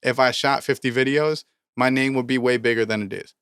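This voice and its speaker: A man's voice: mid-range voice, steady tone, brisk, fairly bright tone, clean tone, no room sound, clean studio sound. A thirty-year-old man co-hosting a music business podcast in casual chat, ranting emphatically and swearing often. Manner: emphatic, profane, preachy motivational rants. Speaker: podcast co-host, rapper.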